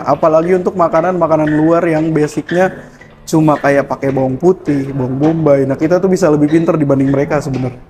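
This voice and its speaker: A man explains how his cafe works, his voice pitched a little high. He talks in an easygoing way, dotting his answers with hesitant fillers, over faint background noise. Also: darkish tone, rough recording. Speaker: chef and barista at a cafe. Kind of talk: casual interview answers.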